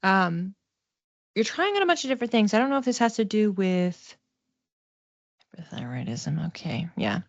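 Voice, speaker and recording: A woman giving a casual live psychic reading, speaking conversationally and tentatively, thinking aloud with pauses as she explains. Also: mid-range voice, big pitch swings, no room sound, highs cut off.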